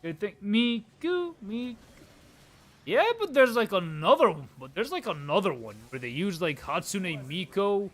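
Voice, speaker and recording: A man's voice: high voice, big pitch swings, unhurried, fairly bright tone. A man in his late twenties hosting a casual game livestream, chatting to viewers in slangy, informal talk. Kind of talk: casual gameplay chat with viewers.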